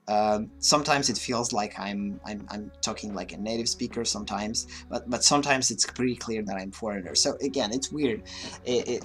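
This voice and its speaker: A man's voice: medium pitch, a bit bright. A man whose first language is Russian, speaking English with a mixed, slightly Russian accent in a casual, hesitant livestream monologue full of fillers and restarts. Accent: mixed Russian-influenced. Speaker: livestream host.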